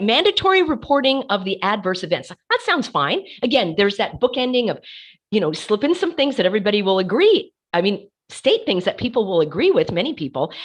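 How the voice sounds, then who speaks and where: A woman hosts her own commentary show with a voice gone hoarse from laryngitis. She sits somewhat high and moves through a very lively range. She talks with passion and drives her points home by repeating words. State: losing her voice. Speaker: host of an online commentary show. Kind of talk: casual commentary while sharing her screen.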